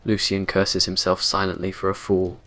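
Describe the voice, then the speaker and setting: A man's voice: low-pitched, completely flat delivery, normal speed, fairly bright tone. A man reading scripted dramatic narration for a romantic ASMR roleplay in an earnest, brooding way. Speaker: story narrator.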